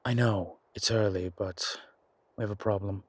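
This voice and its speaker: Man acting as a low, husky demon investigator. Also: fairly even intonation, moderate pace, no echo, lightly acted. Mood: grim, insistent. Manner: terse, commanding, colloquial. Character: gruff, urgent male incubus demon investigator, protective, wryly friendly. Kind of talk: immersive audio roleplay acting.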